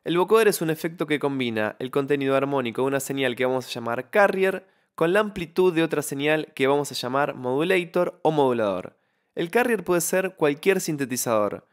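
A man's voice: on the high side, expressive, a little fast. A man presenting an instructional tutorial in voice-over, explaining step by step into a close microphone. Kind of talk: instructional software tutorial narration.

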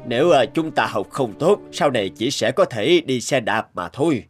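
A man voicing a stern, proud, mustached schoolteacher in an animated story, talking in a firm, lecturing way. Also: mid-range voice, normal speed, natural voice. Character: stern mustached male teacher, competitive, proud. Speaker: teacher character. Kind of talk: animated fairy-tale dubbing. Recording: music bed behind voice.

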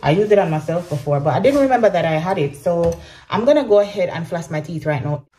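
Young Jamaican woman, home vlogger, lowish voice, relaxed chatty delivery, phone at arm's length. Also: quick pace. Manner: chatty, relaxed, slips into patois. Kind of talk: casual vlog chat to camera. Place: small home bathroom and bedroom, indoors. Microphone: phone camera at arm's length.

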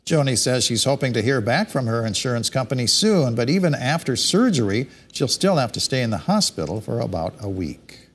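A man reading local news stories as a television studio anchor, in a steady, measured delivery. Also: mid-range voice, slightly rough.

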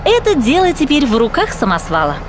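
A woman narrating a children's cartoon as host, explaining things in an animated storytelling way. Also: high-pitched, fairly quick, noisy background.

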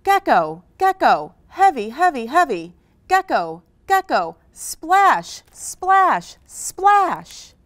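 A woman's voice: on the high side, very animated, takes it slowly, no room sound. A woman voicing a tiny, determined, stubborn gecko in a children's story, eager and emphatic. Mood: excited.

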